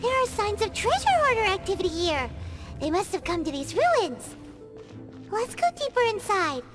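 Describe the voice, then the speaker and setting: Squeaky, tiny excitable fairy companion. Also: female voice, normal speed. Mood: curious, uneasy. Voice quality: squeaky, childlike. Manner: refers to self in third person, hesitant and expressive. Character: tiny excitable childlike female fairy companion, easily spooked. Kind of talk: animated video game acting. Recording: game music behind the voice. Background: orchestral game music.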